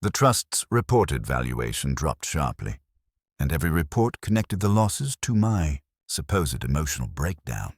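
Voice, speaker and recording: A man narrating a scripted first-person drama in a measured, dramatic storytelling delivery. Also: very deep voice, big pitch swings, husky, no echo, clean studio sound.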